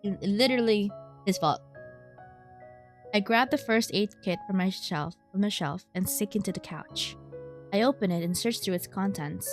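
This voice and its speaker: A woman's voice: medium pitch, normal speed, faint music behind. A woman streaming a story game, reading its text aloud expressively with casual, wry asides. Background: game soundtrack.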